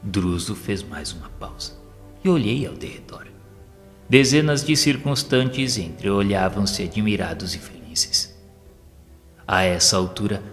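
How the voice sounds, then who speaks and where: A man with a fairly low voice narrates an audio novel. His delivery is solemn, formal and measured, set in a long reverb over faint music. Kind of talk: scripted dramatic narration of a spiritual story.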